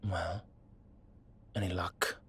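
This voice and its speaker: A man playing a low-key investigator in a roleplay audio drama, speaking quietly and laconically with dry teasing. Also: steady tone, slow pace, heavy background noise.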